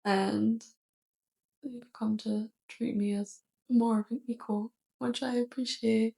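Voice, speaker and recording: A woman playing a sweet, curious, awkward alien roommate with a crush, speaking gently and haltingly in a soft ASMR roleplay. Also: on the high side, flat delivery, creaky, exaggerated voice. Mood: fond, earnest. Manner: gentle, hesitant, halting delivery.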